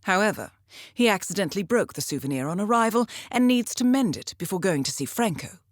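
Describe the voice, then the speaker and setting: An actress playing a poised, dryly amused intelligence handler who briefs an assassin in a video game, measured and formal. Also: female voice, mid-range voice, animated, dry recording, studio quality, natural voice. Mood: composed briefing. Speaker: mission handler.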